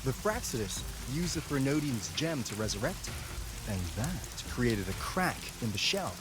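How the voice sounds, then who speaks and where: A man's voice: medium pitch, very animated, moderate pace, close to an everyday voice. A man voicing a brash, upbeat fighter briefing comrades in a video game, breezy and cocky. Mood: focused explaining. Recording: loud music behind.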